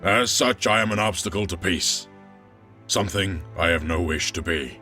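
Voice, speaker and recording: Robot war leader, male actor: bottom-of-the-range pitch, grave and weary audio-drama oratory, slightly distant over clear music. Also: bright, forceful tone. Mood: solemn, resolute. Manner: solemn, measured, oratorical.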